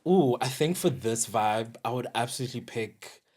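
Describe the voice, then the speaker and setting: A South African interview guest with a medium-pitched voice. The talk is casual and slangy, with drawn-out phrases that feel their way reflectively through each thought. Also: animated, a little mellow, breathy edge. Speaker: guest, DJ and producer.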